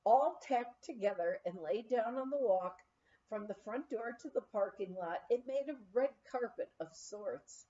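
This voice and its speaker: A woman's voice: a little high, dark tone, highs cut off. A woman reading a memoir aloud as scripted narration, in a storytelling manner, like an amateur audiobook reader.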